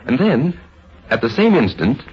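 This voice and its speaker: A man's voice: medium pitch, very animated, breathy edge. An American man giving suspenseful scripted narration in the style of a 1950s radio drama. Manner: suspenseful, dramatic, measured storytelling. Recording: dull vintage radio, faint music behind.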